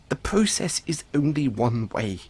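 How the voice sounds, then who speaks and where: A man playing an anxious, apologetic dollmaker in an audio roleplay, stammering and halting as he speaks to the listener. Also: mid-range voice, husky, heavily acted. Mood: panicked, defensive. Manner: stammering, halting, flustered, placating. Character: anxious, apologetic male dollmaker who dabbles in soul magic.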